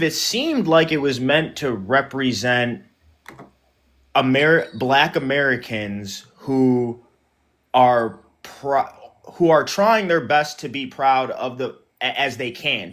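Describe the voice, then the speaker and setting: A man joining a livestream debate by phone, working through his views in a loose, hesitant ramble. His voice sits at an ordinary middle pitch, and the talk stays casual and conversational. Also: a bit bright. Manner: rambling, hesitant, thinks aloud with many fillers. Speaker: debate guest.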